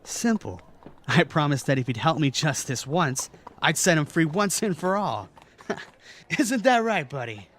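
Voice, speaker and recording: A man playing a young knight in an audio drama, proud, gentle and teasing, with an easy manner. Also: on the high side, very animated, measured pace, exaggerated voice. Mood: proud, amused.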